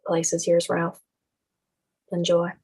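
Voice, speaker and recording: Woman playing a flat, resigned cartoon gentleman. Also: on the low side, slow, breathy edge, full character voice. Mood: cold, resigned. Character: stern, resigned, aggrieved male game resident. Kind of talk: animated film script table read.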